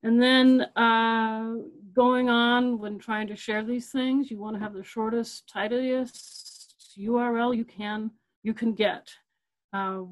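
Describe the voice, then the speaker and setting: A woman's voice: on the high side, poor audio. A middle-aged woman librarian giving a webinar over a computer mic. She talks in a loose, conversational way, with many fillers and restarts, and she repeats her key points. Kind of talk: webinar presentation.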